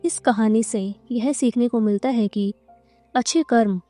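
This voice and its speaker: A woman's voice: on the high side, unhurried, dark tone, faint music behind. A woman narrating a scripted cartoon moral story as its storyteller.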